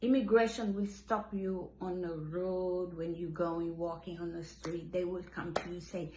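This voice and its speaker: A woman's voice: on the low side, little pitch movement, unhurried, mellow, slight background noise. A woman in her fifties gives an impassioned monologue. She repeats and restarts her phrases for emphasis. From Senegal. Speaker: commentator. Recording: roomy echo.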